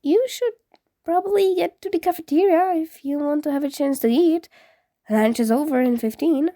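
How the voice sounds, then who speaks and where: A woman voicing a friendly, excitable teenage boy ghost in a story, with eager, emphatic, casual speech. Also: high voice, moderate pace, no echo, clean studio sound, pitched above the performer's own voice. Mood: teasing, helpful.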